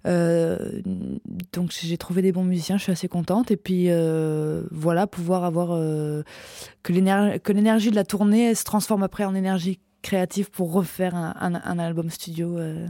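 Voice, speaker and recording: Soft-voiced French woman, hesitant talk. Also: fairly low pitch, steady tone, moderate pace, dry recording, clean studio sound. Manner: hesitant, many fillers and restarts. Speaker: singer-songwriter guest. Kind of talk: radio interview chat. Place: radio studio. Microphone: studio microphone.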